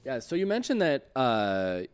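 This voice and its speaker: A man's voice: mid-range voice, huge pitch swings, unhurried, clean tone. A man hosting a casual interview, chatting in an informal way. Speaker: show host interviewing a game designer.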